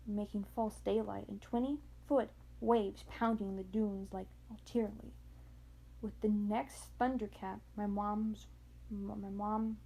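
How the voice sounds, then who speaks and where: A woman reading a children's novel aloud in a halting way, often stumbling and going back over words. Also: a little high, dark tone, husky, rough recording. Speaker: amateur audiobook reader.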